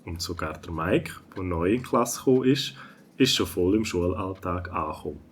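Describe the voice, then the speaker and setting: A man's voice: deep voice, lively intonation, normal speed, a little hiss or hum. A man with a Swiss German accent narrating a scripted children's story.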